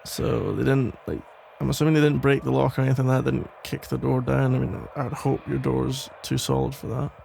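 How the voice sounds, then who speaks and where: A man playing a protective, worried police officer and ex-boyfriend in an ASMR audio roleplay, talking in a halting, casual, gently exasperated way with a gravelly voice. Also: medium pitch, fairly even intonation, slight background noise. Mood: calmer, inquisitive. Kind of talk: ASMR roleplay, conversational one-sided acting.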